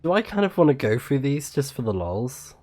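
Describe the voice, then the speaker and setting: A man doing low-key, musing live commentary on a game he is playing, sometimes reading out what is on screen. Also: sing-song, fairly quick, darkish tone. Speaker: game streamer. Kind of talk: let's play commentary, reading screen text.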